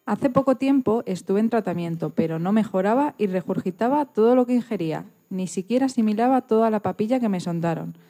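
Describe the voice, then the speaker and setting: Mellow-voiced woman reading a story. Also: medium pitch, lively intonation, brisk, hardly any pauses. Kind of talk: scripted first-person story reading.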